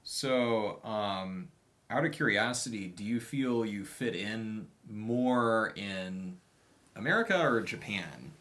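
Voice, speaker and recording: A man hosting a casual interview, friendly and quick to laugh as he asks open questions. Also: lowish voice, expressive, a bit bright. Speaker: interviewer, channel host.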